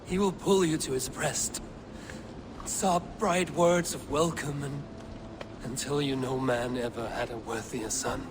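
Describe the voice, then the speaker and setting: A man playing a steadfast, solemn Viking warrior leader in a video game, speaking gravely and with command. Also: mid-range voice, fairly even intonation, unhurried, close to an everyday voice. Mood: solemn, consoling. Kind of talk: dramatic video game acting. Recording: battle and ambient noise behind.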